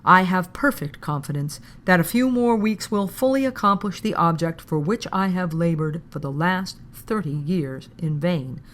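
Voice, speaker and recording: A woman reading an old formal text aloud in an audiobook style, with measured, deliberate phrasing. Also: fairly low pitch, a bit bright. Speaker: podcast host reading aloud.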